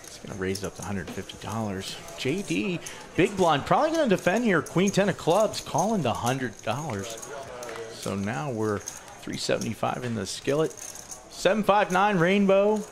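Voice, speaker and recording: A man giving play-by-play poker commentary, calling the action briskly and matter-of-factly. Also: a little high, sing-song, creaky.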